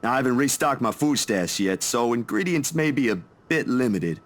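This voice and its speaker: A man's voice: medium pitch, animated, normal speed, close to an everyday voice. A man voicing a friendly, caring young man in a visual novel, casual and chatty, stammering when flustered. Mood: warm, joking.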